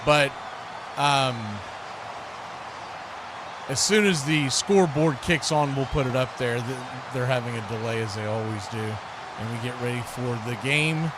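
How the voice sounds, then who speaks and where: A man's voice: medium pitch, measured pace, pauses often. A man hosting live sports commentary in a casual, folksy way, chatting to viewers as a play-by-play announcer. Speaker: play-by-play announcer and livestream host. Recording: clearly noisy live stream with background ambience.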